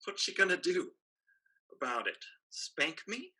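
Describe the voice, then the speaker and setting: A man's voice: on the high side, sing-song, unhurried, pushed and bright, breathy edge, full character voice. A man acting a cocky, lewd pirate crewman who taunts and mocks, in a staged play reading. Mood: sneering. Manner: taunting, mocking.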